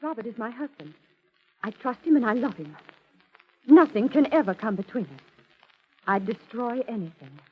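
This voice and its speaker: An actress playing a fragile, devoted wife and concert pianist, anxious and defensive, in 1940s radio drama style. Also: female voice, on the high side, sing-song, moderate pace, pauses often, husky. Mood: defensive, insistent. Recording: muffled, noisy old radio recording.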